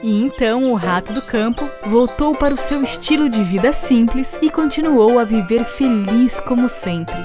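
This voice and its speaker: A woman's voice: a little high, expressive, moderate pace, hardly any pauses. A woman with a Brazilian accent narrating a scripted children's story. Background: music.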